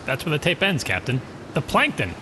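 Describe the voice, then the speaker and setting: A man's voice: on the high side, wildly swooping pitch, background noise. An actor playing a curious, eager male crewman on an undersea rescue team in an audio drama. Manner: quick, curious, questioning.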